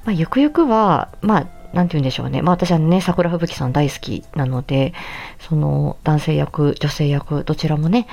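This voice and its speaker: A woman's voice: low-pitched, normal speed, gravelly, darkish tone. A woman hosting a casual solo podcast, chatting politely and effusively. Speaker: podcast host and amateur voice actor.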